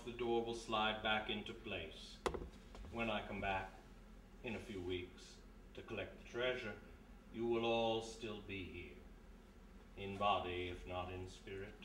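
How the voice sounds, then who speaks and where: A man playing a coldly polite older villain, a scheming gentleman archaeologist, in a live radio mystery. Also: lowish voice, close to an everyday voice. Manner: formal, mock-courteous, menacing. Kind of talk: 1930s-style radio play acting. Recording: echoey, distant live stage, noisy.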